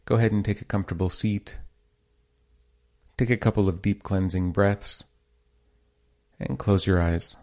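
A man leading a guided meditation as a teacher, speaking in a calm, measured and gently instructive way. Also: deep voice.

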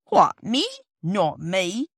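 A woman's voice: mid-range voice, expressive, brisk, no room sound, clean studio sound, lightly acted. A woman voicing a huffy, offended working-class male railway porter in a storybook, with sulky, colloquial talk. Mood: huffy, lofty.

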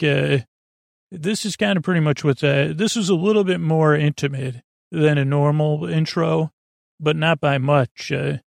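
A middle-aged man hosting a bedtime podcast in a creaky voice, rambling hesitantly, trailing off and circling back on himself. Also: fairly high pitch, dry recording, studio quality. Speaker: podcast host. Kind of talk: rambling bedtime monologue to help listeners sleep.

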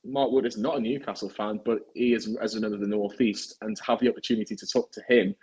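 A man's voice: fairly low pitch, a little mellow, breathy edge, rough recording. A young man hosting a football podcast, chatty and teasing with rambling asides. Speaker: podcast host.

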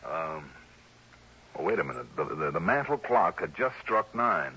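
An American actor playing an earnest, groggy private investigator in a 1960s radio drama, thinking aloud with some hesitation. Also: male voice, very deep voice, very animated, moderate pace, pushed and bright, full character voice. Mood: shaken, puzzling. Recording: hissy, dull old radio recording.

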